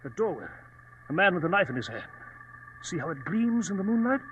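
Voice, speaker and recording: An actor playing Doctor Watson, an earnest, formal gentleman companion, in a dramatic 1940s radio style. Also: sits high, very animated, brisk, lo-fi sound, background noise. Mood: fearful, urgent. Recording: old radio broadcast with music.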